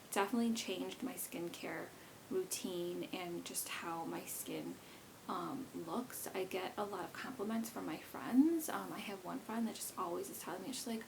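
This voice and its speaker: Chatty woman vlogger with a gravelly edge. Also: lowish voice, moderate pace, noisy background. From South Korea. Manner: chatty and rambling, many fillers. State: pregnant. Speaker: beauty vlogger. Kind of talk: casual talk to camera.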